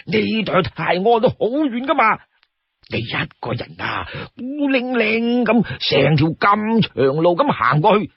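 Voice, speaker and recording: A man's voice: sits high, creaky, slightly rough, no echo, voice pushed up, heavily acted. A man voicing a boisterous, wheedling outlaw warrior in a storybook tale, pleading and exclaiming as he talks. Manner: pleading, exclamatory, stammers when cornered. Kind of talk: Cantonese storytelling character voice. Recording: dull, close dry studio sound.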